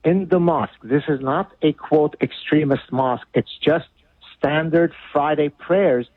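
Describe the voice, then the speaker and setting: A man's voice: a little high, measured pace, slightly rough, no echo. Blunt, emphatic man on a tinny phone line. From Lebanon. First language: Arabic. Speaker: guest author. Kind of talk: radio interview.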